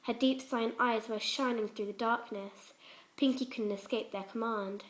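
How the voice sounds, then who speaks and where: High voiced lady reading a fanfic out loud, dramatic story narration. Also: fairly even intonation, normal speed. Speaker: fan fiction reader.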